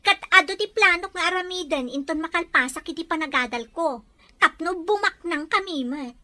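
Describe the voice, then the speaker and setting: A woman's voice: extremely high pitch, moderate pace. A woman playing a boastful, competitive, envious schoolgirl in an Ilocano radio drama. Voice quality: sharp, childlike voice.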